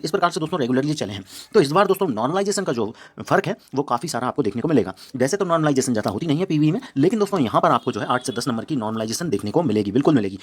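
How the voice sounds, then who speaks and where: Indian guy doing exam prep explainer, talks super fast, voice a bit high, keeps repeating stuff. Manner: repetitive, addresses listeners as friends constantly. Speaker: exam-prep channel presenter. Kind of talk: explainer talk to viewers.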